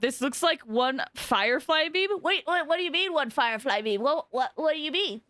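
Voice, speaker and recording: A woman game streamer reacting live in an excitable, gushing way, often repeating her phrases. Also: sits high, normal speed, no room sound. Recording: game music behind the voice.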